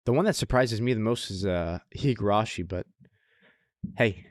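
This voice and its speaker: Male podcast co-host, lower register, dry sound, loose chatty delivery with repeated phrases and casual swearing. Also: normal speed, no room sound. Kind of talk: casual podcast chat about anime.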